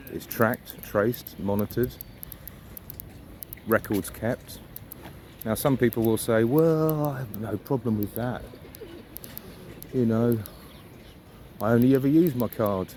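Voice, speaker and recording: A man's voice: lowish voice, measured pace, long pauses, mellow. A man giving a casual, reflective walking monologue as a vlogger, musing and posing rhetorical questions. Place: outdoors on a riverside walk. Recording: outdoor ambient noise, birds and traffic.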